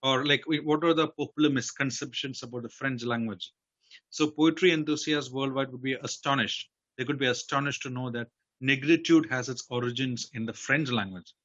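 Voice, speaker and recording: A man hosting a poetry festival interview over a video call, asking long, halting questions full of restarts. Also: mid-range voice, fairly even intonation, fairly bright tone, lo-fi sound. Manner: hesitant, many fillers and restarts.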